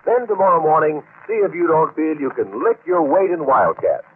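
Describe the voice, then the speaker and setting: A male announcer reads an old-time radio advertisement in a fairly high, very animated voice. The recording is narrow and tinny, with a little noise. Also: moderate pace. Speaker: commercial announcer. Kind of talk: 1940s radio advertising pitch.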